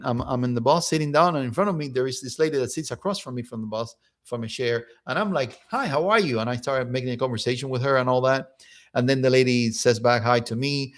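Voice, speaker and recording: A man with a Cuban Spanish accent, a native Spanish speaker, telling an energetic personal story as a motivational speaker. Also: medium pitch. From Cuba. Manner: energetic, animated, repeats words and restarts.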